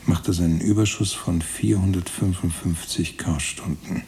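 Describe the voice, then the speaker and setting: Radio drama: earnest, pedantic male writer, low creaky voice, dark tone, explanatory delivery. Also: steady tone, moderate pace, slight background noise. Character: pedantic male writer reasoning through absurd sums. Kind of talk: German radio play monologue.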